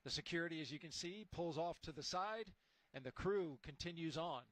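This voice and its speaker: Upbeat, somewhat high-voiced male launch commentator. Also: a little fast, no echo. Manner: relaxed, upbeat and conversational. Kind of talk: live broadcast commentary.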